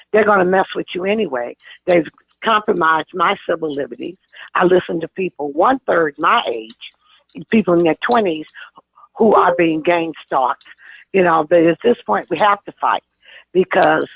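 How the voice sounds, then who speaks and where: Lady in her 60s pressing her point hard, gravelly voice, thin phone line. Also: lowish voice, no room sound. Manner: forceful, insistent, talks over others. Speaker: caller on an activist conference call. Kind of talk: impassioned phone call.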